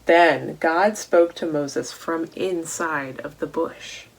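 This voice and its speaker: A woman reading a Bible story aloud to children in a deliberate way, posing questions to young listeners as she goes. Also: deep voice, gravelly, rough recording. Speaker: children's storytime reader at a church.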